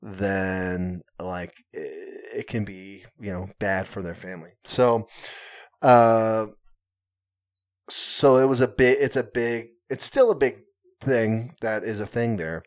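A man's voice: fairly low pitch, takes it slowly. A man talking casually on a podcast, hesitant, full of fillers and restarts, with self-mocking humor. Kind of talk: casual conversation.

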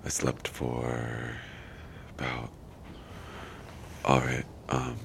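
A man with a hoarse, nearly lost voice making a halting audio diary entry. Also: very deep voice, monotone. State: lost his voice, croaky. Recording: very noisy field recording. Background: loud ambient noise.

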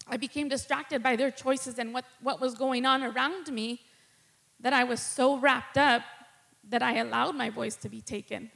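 A woman's voice: high-pitched, gravelly, a bit bright. A middle-aged woman giving a candid personal testimony sermon from the pulpit, nervous and laughing between thoughts. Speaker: guest preacher.

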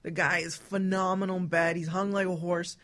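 A woman's voice: fairly low pitch, level tone, fairly quick, fairly bright tone. A woman hosting a casual, frank talk show, putting questions to the panel and steering the chat. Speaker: radio talk show host.

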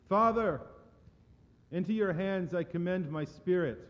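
A man's voice: high voice, a little slow. A man playing a solemn, forgiving condemned Jesus in a dramatic scripture reading. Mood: crying out. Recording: long echo of a large hall.

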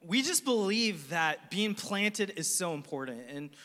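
A man pastor preaching alongside a co-pastor, speaking with loud, emphatic affirmations. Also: high-pitched, lively intonation, a little slow, long reverb tail. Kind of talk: sermon.

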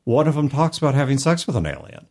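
A man's voice: mid-range voice, big pitch swings, fast, a little mellow. A man hosting a podcast in a casual, conversational style, discussing and giving advice. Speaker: podcast host.

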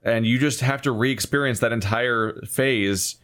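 A man talks casually and critically about a video game as a co-host would. His voice sits at an average pitch. He rambles along in an exasperated rant, leaning hard on his points. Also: measured pace, fairly bright tone. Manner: exasperated, rambling, emphatic ranting.

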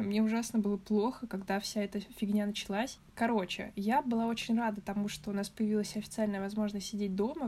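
A woman's voice: mid-range voice, slight background noise. Chatty young woman on a podcast. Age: young adult. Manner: chatty, relaxed, laughs easily. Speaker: podcast guest. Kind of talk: casual chat.

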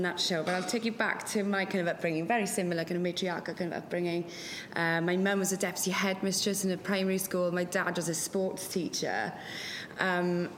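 A Welsh woman, whose first language is Welsh, speaking candidly to a live audience, swearing and laughing often. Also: lowish voice. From West Wales. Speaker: panel speaker. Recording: long reverb in a hall, faint noise.

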